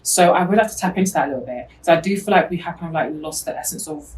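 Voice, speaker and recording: A young woman from co-hosting a podcast in a relaxed chat, often asking "do you know what I mean". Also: on the low side, rapid-fire, far from the mic, slight background noise, low-quality recording. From Britain. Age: young adult. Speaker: podcast co-host. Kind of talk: casual chat.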